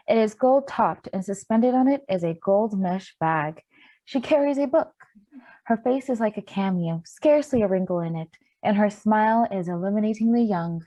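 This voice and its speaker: A woman narrates a play from a script. Her voice is soft-toned and mellow at a medium pitch. The sound is very dry, with no room to it. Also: dry recording, poor audio. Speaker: stage-directions narrator.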